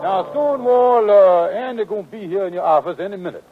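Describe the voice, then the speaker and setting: An actor playing a scheming, fast-talking conman in a 1940s radio comedy, with pompous, mangled big words in folksy dialect. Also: high-pitched, lively intonation, loud music behind the voice. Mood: sly. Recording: tinny, band-limited 1940s radio broadcast.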